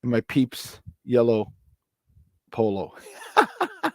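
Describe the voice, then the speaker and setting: A man hosting a casual morning sports talk show, his voice a little hoarse, repeating words for emphasis and laughing often. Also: medium pitch, wildly swooping pitch, takes it slowly, no room sound, clean studio sound. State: slightly hoarse. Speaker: sports talk radio host.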